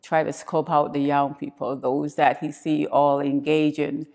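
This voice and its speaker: A woman's voice: sits low, flat delivery, unhurried, creaky, a little mellow. A woman from Barbados giving a reflective testimony about her church in an interview.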